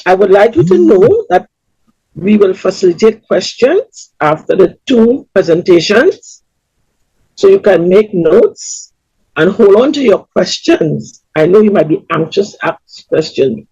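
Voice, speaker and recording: A woman with a Trinidadian accent hosting a community webinar over a video call, welcoming people in a lively announcer tone. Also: medium pitch, measured pace, husky, no echo. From Trinidad and Tobago. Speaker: webinar host. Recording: dull, lo-fi webinar audio.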